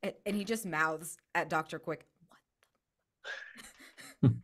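A woman's voice: sits low, normal speed, bright, forceful tone. A woman playing a tabletop game, casually improvising her character's actions into a close desk microphone. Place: room at home, on a video call.

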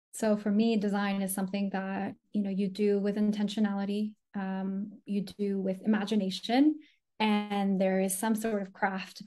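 A woman's voice: mid-range voice, darkish tone. A woman, raised in the US after a Colombian birth, explaining her work as an inclusive design consultant thoughtfully in a video call interview from home. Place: home room indoors. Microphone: laptop mic on a video call.

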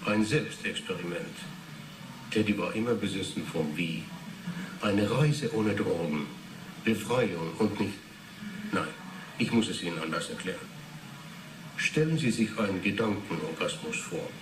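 A man's voice: low-pitched, lively intonation, measured pace, long pauses, far from the mic. An actor playing an earnest Finnish doctor in his thirties who lectures and defends himself in a radio drama. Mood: insistent. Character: earnest thirty-one-year-old Finnish neurology doctor under questioning. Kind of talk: 1980s German radio drama acting. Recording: roomy, noisy interrogation recording.

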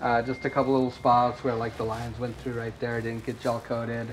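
Casual man in his thirties touring a boat refit, unhurried and plain, in a boomy hull with faint noise. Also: roomy sound, low-quality recording. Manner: relaxed, plainspoken, lots of ums and uhs. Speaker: boat owner showing a refit. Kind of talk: casual walkthrough video. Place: inside a fiberglass boat hold and on deck.

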